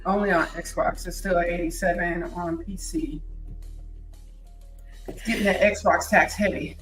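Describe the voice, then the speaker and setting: A woman's voice: lowish voice, steady tone, unhurried, roomy sound, recorded from a distance, faint music behind, poor audio. A woman with an American accent co-hosting a gaming podcast, speaking in a laid-back way and often agreeing with the others. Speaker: podcast co-host. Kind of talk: casual chat.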